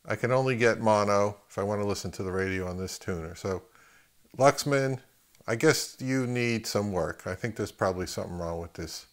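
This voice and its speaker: A man giving a casual, unscripted equipment review as a video host, thinking aloud in a halting way and repeating words. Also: on the low side. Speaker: host of an audio gear video channel.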